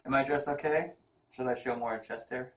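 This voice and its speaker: A man's voice: mid-range voice, steady tone, normal speed, a bit bright, recorded from a distance. A man giving an amateur read-aloud of a novel, joking and teasing in asides and stumbling over words. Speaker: comic artist reading wife's novel aloud. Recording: roomy echo, away from the mic.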